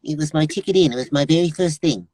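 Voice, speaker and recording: A middle-aged man, a voice actor, telling lively anecdotes over a remote call and slipping into character voices. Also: fairly high pitch, quick pace, dry recording. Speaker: voice actor guest. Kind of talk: animated anecdotal storytelling.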